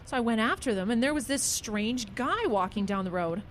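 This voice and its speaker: A woman plays a folksy small-town neighbour in a radio play. She chats along in a fairly high voice, with a faint noise in the background. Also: animated, normal speed, natural voice. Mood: puzzled. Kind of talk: audio drama acting.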